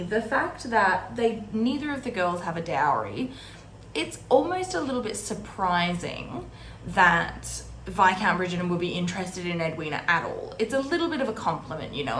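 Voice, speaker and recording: A woman's voice: mid-range voice, sing-song, normal speed, fairly bright tone. A woman chatting casually and wryly as she hosts a book discussion, often drifting into asides. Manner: chatty, wry, digressive with asides. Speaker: host of a book readalong series. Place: indoor room at a desk. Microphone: camera set on or near desk. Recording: roomy echo, background room noise.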